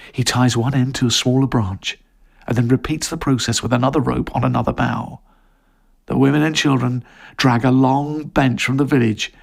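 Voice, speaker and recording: A man reading a story as dramatic scripted narration, in a suspenseful, expressive manner. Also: mid-range voice, gravelly, husky.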